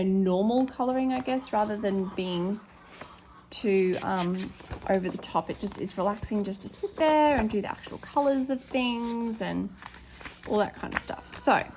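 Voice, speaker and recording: An Australian woman, a teacher with a slightly hoarse voice, chatting casually with lots of ums as she shows her colouring pages. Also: mid-range voice, a little mellow, slight background noise. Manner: chatty, many ums, corrects herself. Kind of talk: casual flip-through chat.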